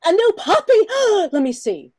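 Very high, sing-song excitable woman, acted out. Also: a little slow, noisy background, exaggerated voice. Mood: gleeful, excited. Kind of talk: amateur radio play acting.

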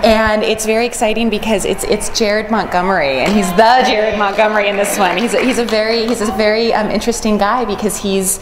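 A young woman interviewing guests on stage in a casual, chatty, animated way as a panel moderator. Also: mid-range voice, brisk, few pauses, over music. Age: young adult. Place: hotel conference room stage, before an audience. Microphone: handheld microphone. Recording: noisy live event room sound.

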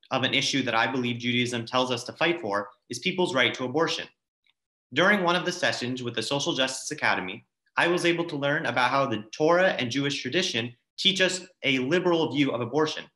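A teenage male confirmand reads a prepared speech in a bright, forward voice. The sound is echoey, like a video call from a room at home. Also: medium pitch. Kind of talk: prepared speech read aloud. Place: home dining room, indoors. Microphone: computer mic on a video call.

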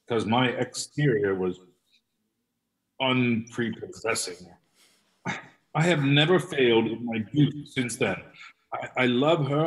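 A timid but proud hanger-on in a stage drama, read by a man. He speaks slowly and haltingly with earnest, varied intonation, a little away from a video-call microphone. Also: medium pitch, recorded from a distance, low-quality recording. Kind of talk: amateur play reading.

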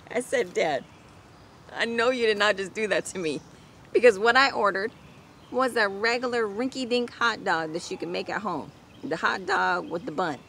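A woman's voice: medium pitch, normal speed, fairly bright tone. A middle-aged woman vlogger telling a personal story casually to a camera outdoors. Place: outdoors in a home garden. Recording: clear outdoor ambient noise.

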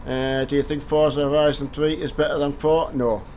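An older man from the north of England, hoarse from a cold, chatting casually on a game live stream and greeting viewers by name through a headset mic. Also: on the high side, flat delivery, fairly quick, darkish tone, a little hiss or hum. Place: home gaming room with driving rig.

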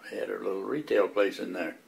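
A man's voice: sits low, fairly even intonation, fast, creaky. An older man casually recalling local people and family from the past. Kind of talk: casual reminiscing.

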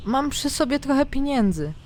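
A woman's voice: sits high, lively intonation, normal speed, background noise, pitched above the performer's own voice. A woman voicing a frightened young noblewoman in an audio story, pleading tearfully and breathlessly. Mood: terrified pleading.

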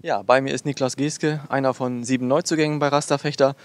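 A man's voice: mid-range voice, fairly even intonation, a little fast, background noise. A man working as a sports interviewer, asking questions in an informal style.

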